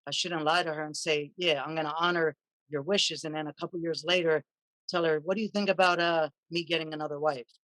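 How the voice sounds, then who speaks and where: A man's voice: on the high side, steady tone, fairly quick, pushed and bright, no echo, poor audio. A man speaking as a panelist, explaining his view hesitantly with many fillers. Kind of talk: explanatory discussion.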